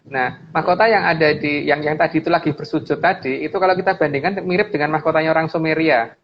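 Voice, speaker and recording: A man with an Indonesian accent and a slightly high voice talks through slides in an informal, explanatory way. He stresses points by repeating phrases. The audio is dull, with some noise behind him. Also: fast talker. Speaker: guest speaker explaining slides.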